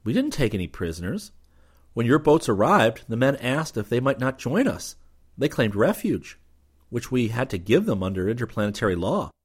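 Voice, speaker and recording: A man voicing a quick-witted young lieutenant making smooth, innocent-sounding excuses. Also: medium pitch, very animated, pitched above the performer's own voice. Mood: feigned innocence.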